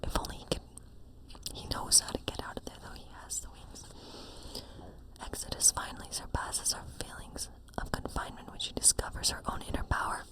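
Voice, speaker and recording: A woman's voice: brisk, poor audio. Whispering woman, cozy witchy tarot reader. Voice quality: soft, breathy whisper. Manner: informal, encouraging, rambling asides. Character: friendly witch giving a cozy tarot reading. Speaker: ASMR performer playing a witch reading tarot. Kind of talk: whispered ASMR role play, loosely improvised tarot reading.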